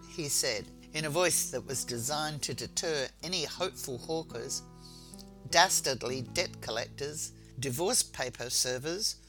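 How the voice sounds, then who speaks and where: Storybook narrator, mid-pitched voice, with clear background music. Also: sharp tone. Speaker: author reading own short story.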